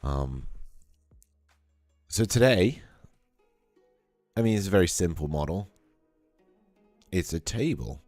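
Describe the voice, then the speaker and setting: Very deep-voiced man, a little hoarse. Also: takes it slowly, pauses often, no room sound. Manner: relaxed, thinking aloud with many fillers. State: slightly hoarse from a cold. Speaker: 3D artist streaming a modeling session. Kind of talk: casual live tutorial. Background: faint background music.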